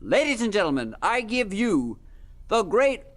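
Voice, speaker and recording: An animated, earnest radio showman. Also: sits high, measured pace, full character voice. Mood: grand, awed. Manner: grand showman delivery. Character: theatrical, earnest male radio show host.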